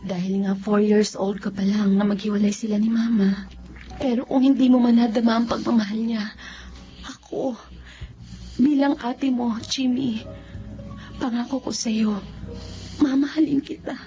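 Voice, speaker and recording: A woman's voice: on the high side, fairly even intonation, normal speed, pauses often, dark tone, close to an everyday voice. An actress with a Filipino accent playing a tender, protective older sister in her twenties in a radio drama. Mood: tender, consoling. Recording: dull lo-fi audio with music.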